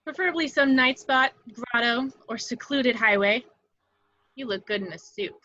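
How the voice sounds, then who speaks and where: A woman's voice: on the high side, moderate pace, pushed and bright, no room sound, dull audio. A woman playing a flirty, brazen, confident woman with a sultry, teasing delivery in an amateur script read.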